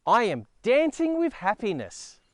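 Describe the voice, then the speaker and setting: Animated Australian man, children's storyteller. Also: very high voice, measured pace, no echo. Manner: playful, expressive. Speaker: children's storyteller and show host. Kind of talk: children's read-aloud and playful presenting to a puppet-like companion. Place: outdoors in a forest.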